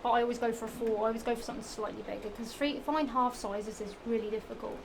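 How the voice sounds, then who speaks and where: Young woman, Norfolk accent, fairly high voice, rambling chatty vlog talk, audible background noise. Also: steady tone, fast talker. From England. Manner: rambling, self-repeating, giggly, with many fillers and restarts. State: slightly strained voice from the heat. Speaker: vlogger. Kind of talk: casual chatty product show-and-tell. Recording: noisy home recording.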